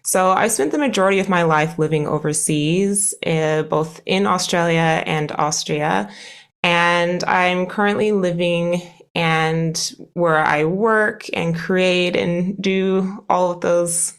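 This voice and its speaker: An American woman with a fairly low voice talks as a podcast guest. She speaks casually and enthusiastically, laughing easily as she goes. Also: takes it slowly, clean tone. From United States. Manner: enthusiastic, lists many topics, laughs easily. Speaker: guest speech therapist. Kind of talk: casual interview talk.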